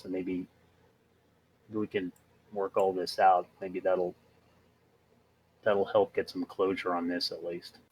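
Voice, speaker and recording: A man playing a tabletop role-playing game, talking casually and haltingly as he works things out. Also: deep voice, breathy edge, rough recording. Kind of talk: casual in-character role-play chat.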